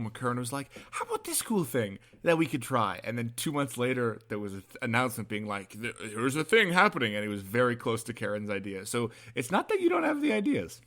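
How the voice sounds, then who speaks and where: A young man co-hosting a podcast, chatting and interviewing in a casual, bantering, somewhat halting way. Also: medium pitch, sing-song, a bit bright. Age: young adult. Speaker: podcast co-host.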